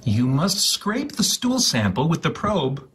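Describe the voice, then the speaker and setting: A man reading instructions aloud for comedy in a deliberate, over-enunciated way. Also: on the high side, huge pitch swings, a bit bright, breathy edge. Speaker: host of a hobby video channel.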